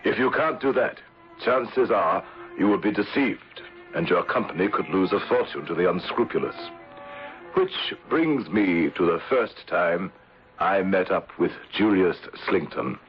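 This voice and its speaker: An actor playing a shrewd, wary English insurance manager in a radio drama, speaking in a measured, formal way. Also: male voice, sits low. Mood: wary, reflective. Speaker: actor narrating and playing the lead. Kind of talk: 1970s radio drama acting. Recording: dull old radio recording with music.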